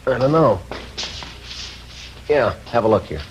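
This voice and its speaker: An actor playing a terse, unflappable police sergeant in a 1950s radio crime drama, clipped and businesslike. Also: male voice, mid-range voice, expressive, measured pace, slight background noise, natural voice. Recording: dull old radio recording, loud music.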